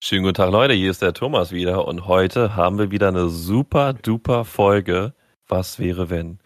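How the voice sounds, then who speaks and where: A man's voice: low voice, moderate pace, dry recording, studio quality. A man co-hosting a podcast, talking eagerly and slangily in casual chat and prompting his partner. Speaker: podcast co-host.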